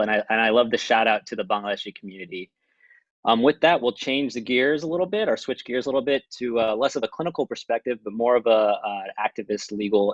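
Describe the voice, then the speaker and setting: A man's voice: lowish voice, no echo. Moderator man with a dull, dry sound. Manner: polite, slightly halting with fillers. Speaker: panel moderator. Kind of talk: introducing speakers.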